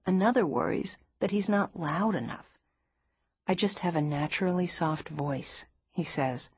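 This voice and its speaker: A woman narrates nonfiction in a measured, even way. Her voice sits a little low and carries a husky edge. The recording is dry and close, with no room sound. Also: no echo. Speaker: audiobook narrator.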